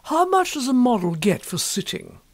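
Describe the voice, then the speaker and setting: A man voicing a good-natured, naive young gentleman in a storybook reading, with a mild, sympathetic manner. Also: very high voice, big pitch swings, normal speed, voice pushed up, full character voice. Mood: curious.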